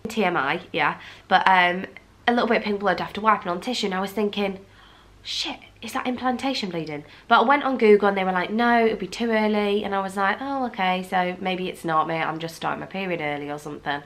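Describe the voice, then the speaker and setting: A woman's voice: medium pitch, a little fast, fairly bright tone. A young woman vlogger from England chatting candidly to camera, with a blocked, fluey nose and lots of fillers and restarts. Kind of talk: casual chat to camera.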